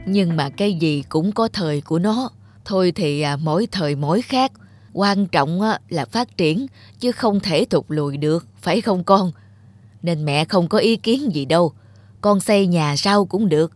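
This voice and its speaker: A woman playing an elderly widowed mother in a cartoon, gentle, yielding and reflective, speaking hesitantly. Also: on the low side, animated, moderate pace. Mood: wistful, reflective. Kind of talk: cartoon voice acting. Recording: music under the voice.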